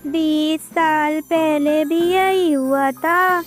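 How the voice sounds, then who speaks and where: Cartoon granny bird, played by a woman: aged, grave, very slow, music underneath. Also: high-pitched, steady tone. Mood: grave, knowing. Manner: very slow, solemn delivery. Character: very old grandmother bird, grave and knowing. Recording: music behind the voice.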